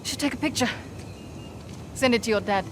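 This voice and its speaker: A woman's voice: fairly high pitch, normal speed, background noise, close to an everyday voice. A woman playing a blunt, no-nonsense female mercenary in a video game cutscene, with a dry, clipped, critical manner. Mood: observant, matter-of-fact.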